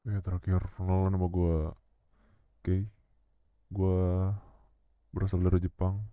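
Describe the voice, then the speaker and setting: A man casually introducing his roleplay character with slangy, loud delivery. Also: deep voice, completely flat delivery, unhurried, smooth, no room sound, muffled recording.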